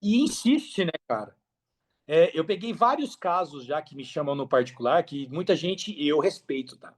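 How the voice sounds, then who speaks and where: Higher-pitched Brazilian man, colloquial podcast guest. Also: lively intonation, normal speed. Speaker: podcast guest, affiliate marketer. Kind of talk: casual podcast conversation, giving advice.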